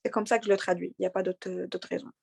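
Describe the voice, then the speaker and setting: A young woman from Morocco with a light Moroccan accent, answering interview questions in an earnest, halting way with many fillers and restarts. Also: on the low side, fairly even intonation, creaky, a little mellow, breathy edge, dry recording, clean studio sound. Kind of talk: conversational interview.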